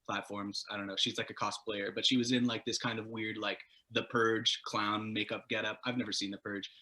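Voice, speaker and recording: Bright, forceful lower-voiced man, casually rambling. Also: brisk. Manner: animated, self-deprecating, casual swearing, laughs while talking. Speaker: guitarist in a band, interview guest. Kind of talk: casual rambling storytelling in an interview.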